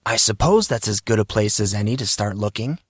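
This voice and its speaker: A man's voice: on the low side, animated, fairly quick, breathy edge, dry recording, clean studio sound. A man voicing a wry, mild, slightly distracted fourteen-year-old boy in an audiobook story. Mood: preoccupied.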